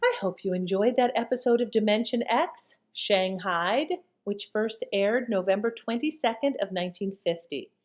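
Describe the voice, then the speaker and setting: A woman's voice: medium pitch, moderate pace, few pauses. A woman hosting an old-time radio channel, chatting casually in a rambling way with trailing thoughts. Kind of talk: casual chatty commentary after a programme.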